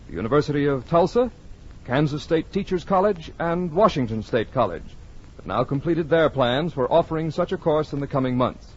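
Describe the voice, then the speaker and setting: An American man announcing in a formal, scripted 1940s radio style with measured enunciation. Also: medium pitch. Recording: dull vintage 1940s radio broadcast recording.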